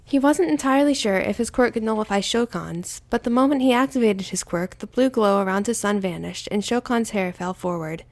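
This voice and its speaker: A woman's voice: medium pitch, a little fast, few pauses, a little mellow. A woman reading scripted fiction aloud as the narrator of an audio story.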